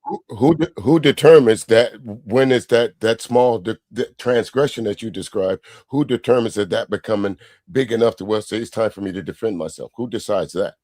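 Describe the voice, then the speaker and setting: Man, American accent, lower pitch, slightly husky, insistent questioner, conversational debate, repeats and rephrases. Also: normal speed, a little mellow, dry recording, clean studio sound. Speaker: discussion participant posing questions. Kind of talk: casual debate.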